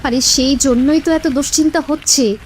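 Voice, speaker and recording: A woman's voice: high voice, fairly even intonation, normal speed, darkish tone, slight background noise, lightly acted. A woman playing an anxious, fretful village wife who keeps cautioning, in a ghost story cartoon.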